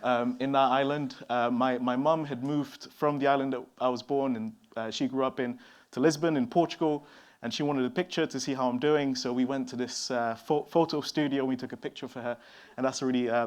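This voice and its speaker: A man from São Tomé and Príncipe addresses a conference audience through a stage mic. He talks in a loose, relaxed way, often pausing on fillers and restarting his sentences. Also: medium pitch. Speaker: conference speaker. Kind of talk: conference talk.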